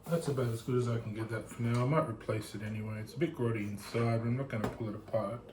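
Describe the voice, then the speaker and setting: A man's voice: medium pitch, fairly even intonation, fast talker. A man vlogging at home, thinking aloud in a bored, muttering way as he builds a computer, picked up by a camera mic. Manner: sounds bored, muttering to himself. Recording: echoey room with faint hiss.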